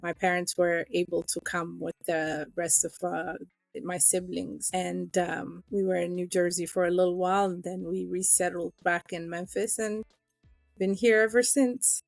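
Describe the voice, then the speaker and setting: Interview guest: middle-aged woman from Ethiopia, low-ish voice, dry close sound, soft music under. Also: little pitch movement, moderate pace, gravelly, no room sound. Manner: earnest, emotional, with fillers. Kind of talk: personal interview storytelling.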